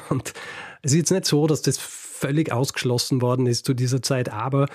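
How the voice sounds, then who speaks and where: A man's voice: medium pitch, fairly even intonation, normal speed, no room sound. Man, Viennese German accent, podcast co-host, hesitant rambling storyteller, dry close sound. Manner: hesitant, many fillers and restarts, laughs often. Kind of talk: casual podcast chat, storytelling.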